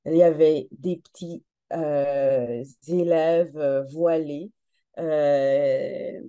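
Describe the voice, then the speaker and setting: A woman speaking as a panelist in an earnest, measured way, with frequent filler sounds. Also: deep voice, mellow, no room sound. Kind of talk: panel talk.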